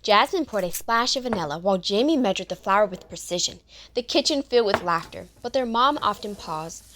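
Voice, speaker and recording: A woman narrates a scripted story for children. Her voice sits at an average pitch for a woman. She reads as the storyteller of an audio drama. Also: animated, brisk, a bit bright, slight background noise.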